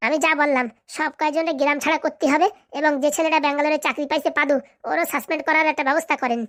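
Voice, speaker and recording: Woman acting a shrill, bossy village madam. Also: fairly even intonation, rapid-fire, a bit bright, no echo, clean studio sound. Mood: brisk, commanding. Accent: rural Bengali. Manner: blunt, commanding. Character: bossy scheming village madam hiring a corrupt policeman. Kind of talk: comic cartoon dialogue.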